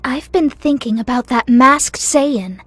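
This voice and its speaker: A woman's voice: sits high, unhurried, no room sound. A woman playing a small, youthful goddess in a video game, spirited, earnest and determined. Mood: thoughtful. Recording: loud game music underneath.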